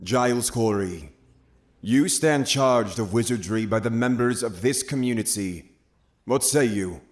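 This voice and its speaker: Man as trial interrogator, audio drama, formal, probing, accusatory, medium pitch. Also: unhurried, lightly acted. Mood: formal accusing.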